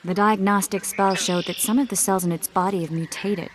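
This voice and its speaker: An actress playing a knowing, forthright mage and healer in a video game, explaining things in a measured way. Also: female voice, medium pitch, fairly even intonation, background noise, close to an everyday voice. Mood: clinical, matter-of-fact.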